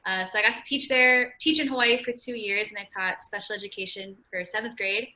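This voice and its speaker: A woman's voice: mid-range voice, brisk, pushed and bright. A woman in her twenties from California, a podcast guest in a casual interview, chatty and upbeat, often saying "like". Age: 20s. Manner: chatty, frequent "like" fillers, upbeat. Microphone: remote call.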